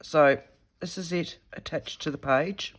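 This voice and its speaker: A woman, slightly croaky from a head cold, chatting casually as she demonstrates a paper craft while working with her hands. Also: low voice, unhurried. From Australia. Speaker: craft tutorial host.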